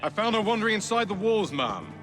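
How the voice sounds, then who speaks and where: An actor playing an obliging, respectful Victorian guard in a video game, deferential in manner. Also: male voice, high-pitched, sing-song, brisk, music in the background. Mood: dutiful, respectful. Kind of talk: video game cutscene acting.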